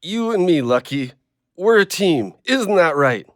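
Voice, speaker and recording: A man's voice: sits high, sing-song, unhurried, no room sound, clean studio sound. A man playing a weary but kindly man down on his luck in an audio drama, with a folksy, colloquial manner. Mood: affectionate.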